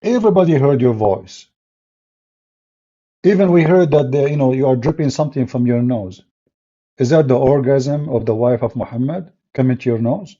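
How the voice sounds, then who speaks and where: Husky-voiced man hosting a livestream. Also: medium pitch, normal speed, warm tone. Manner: mocking, sarcastic, non-native English phrasing. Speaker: livestream host. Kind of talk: livestream talk with callers. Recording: dull lo-fi stream audio.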